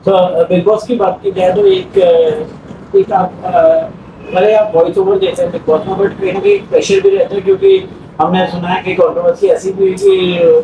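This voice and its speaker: A man's voice: high voice, sing-song, moderate pace. A man asking interview questions from away from the microphone, in a distant, echoey and noisy recording.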